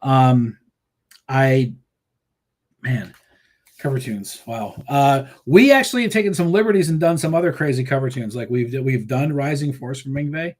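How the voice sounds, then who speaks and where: A man's voice: medium pitch, moderate pace. A man guest on an interview show, chatting casually about his band in a rambling way, with many fillers and restarts, laughing easily. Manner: laughs easily, animated storytelling. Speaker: musician guest.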